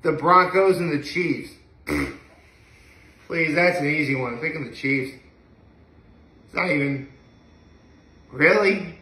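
A young man with a bright, fairly high-pitched voice talks casually to the camera. He rambles and hesitates, letting thoughts trail off. The recording is echoey, made in a room at home a few feet from the phone. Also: very animated, slow pace, pauses often, slight background noise. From upstate New York. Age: young adult. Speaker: daily vlogger. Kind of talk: casual solo chat to camera. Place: indoor living room at home. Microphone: phone camera a few feet away.

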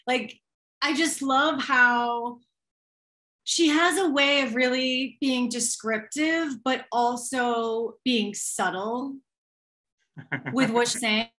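A young woman singer with a slightly raspy voice, chatting as a guest on a video call from a room at home, gushing and full of fillers. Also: high voice, takes it slowly, bright, forceful tone. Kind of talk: casual chat, storytelling. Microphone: webcam on a video call.

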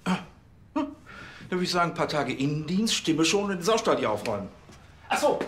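Fast, blustering police chief. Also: male voice, fairly high pitch, big pitch swings, a bit bright, a little hiss or hum. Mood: brisk, pompous. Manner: hectoring, pompous. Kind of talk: TV crime comedy acting.

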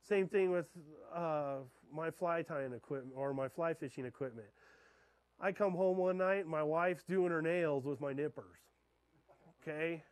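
A man in his thirties, a fishing guide, giving a talk in a darkened lecture room and checking in with his listeners with a steady "okay?". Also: on the high side, measured pace, clean tone, dry recording. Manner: keeps checking in with the audience with "okay?". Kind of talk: classroom talk to an audience. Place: dark lecture room beside a projector screen.

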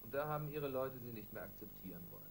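Man acting a quick-talking, reasonable police interrogator. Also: medium pitch, lively intonation, background noise, lightly acted. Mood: probing. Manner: polite, probing. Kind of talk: German radio crime play dialogue.

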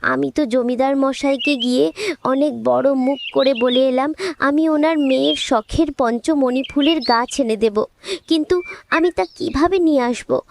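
A woman's voice: sits high, measured pace, creaky, noisy background. A woman voicing a poor village girl in a fairy-tale cartoon, earnest, insistent and imploring. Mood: sorrowful. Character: pleading poor village girl, devoted to her cow.

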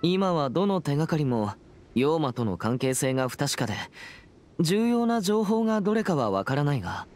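Earnest teenage exorcist boy, expressive pitch. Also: normal speed. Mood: thoughtful. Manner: formal, polite, reserved. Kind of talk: Japanese anime game voice acting. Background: background music.

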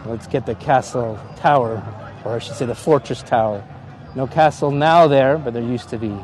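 A man's voice: mid-range voice, normal speed, a little mellow. Middle-aged canadian guy livestreaming a tour from a hilltop park, phone at arm's length, chatty, faint outdoor noise. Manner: chatty, laughs often, greets viewers by name. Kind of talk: casual live-stream chat. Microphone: phone on gimbal at arm's length.

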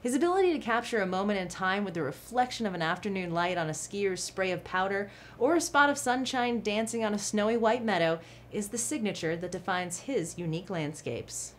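A young woman anchoring a local TV news segment, reading a scripted feature in a clear, even newsreader delivery from a studio desk. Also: medium pitch, a little fast, hardly any pauses, a little hiss or hum. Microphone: studio mic, seated at desk.

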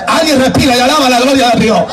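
A man with a Caribbean Spanish accent preaching fervently, with shouted, drawn-out emphatic phrases and repeated refrains. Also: very high voice, distant mic, over loud music, a loud crowd. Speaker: evangelical preacher giving testimony. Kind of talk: fervent Pentecostal sermon with refrains.

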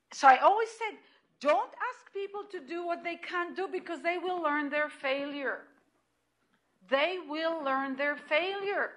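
A middle-aged woman with an Israeli accent, giving an animated lecture in a room, heard from a distant camera. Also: sits high. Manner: animated and emphatic, with restarts and repeated points. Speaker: lecturer at hospital grand rounds. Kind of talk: lecture presentation.

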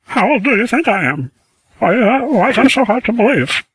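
A man's voice: very high voice, sing-song, fairly quick, fairly bright tone, husky, dry recording, heavily acted, rough recording. A scambaiter playing a lonely, rambling old man who feigns confusion on the phone.

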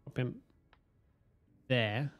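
A man, streaming a game from home on a headset, hoarse from a cold, swearing and joking with chat. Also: mid-range voice, steady tone, extremely slow, muffled recording, faint music behind. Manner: swearing, self-mocking, chatting to viewers. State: hoarse, losing his voice from a cold. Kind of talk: casual live-stream chatter while playing.

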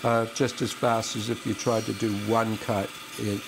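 An older man who carves shoe lasts, explaining his work calmly and step by step with frequent restarts while he cuts wood at a band saw in his workshop. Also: lowish voice, moderate pace. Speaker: shoemaker and last carver. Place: workshop, indoors, next to a running band saw. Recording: clear power tool noise behind the voice.

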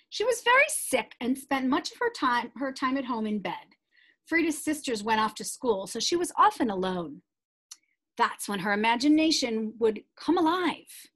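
A middle-aged woman with a somewhat high voice presents a read-aloud for young readers. She is expressive and deliberate with the text, and slips into chatty asides to explain it to the children.

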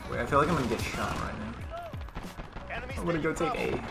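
A young man with a raspy, hoarse voice from being sick, giving casual, slangy, excitable live commentary while he plays a game at home on a headset. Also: fairly high pitch, fairly quick. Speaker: gaming YouTuber. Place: indoor room at home. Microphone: headset with webcam. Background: game gunfire and music.

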